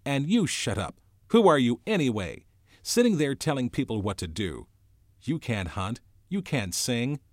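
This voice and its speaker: A man plays a storybook boy bully, contemptuous and aggressive. He sneers and shouts with big swings in pitch, raised above normal speech, very dry and close. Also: sing-song, moderate pace, no echo.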